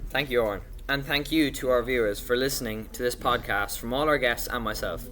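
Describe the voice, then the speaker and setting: A man's voice: medium pitch, fairly quick, a bit bright, slight background noise. A young man presenting as a podcast host in a scripted, introductory style.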